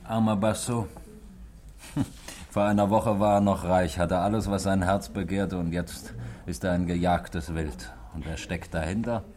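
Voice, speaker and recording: An actor playing a bitter, genial but evasive drinker in a German radio play, musing theatrically and with irony. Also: male voice, low-pitched, steady tone, a little slow, a little mellow.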